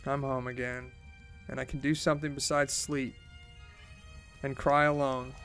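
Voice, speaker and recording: A man's voice: on the high side, steady tone, unhurried, a bit bright, soft background music. A man voicing a drunk young college dropout from a game, crude and maudlin, rambling in slangy, self-deprecating bursts. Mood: drunken despair.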